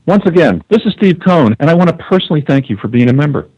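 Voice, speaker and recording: A man reads a scripted corporate greeting at a quick pace. His voice is a bit high and very animated, heard through a dull telephone line. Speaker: company executive in a recorded welcome greeting.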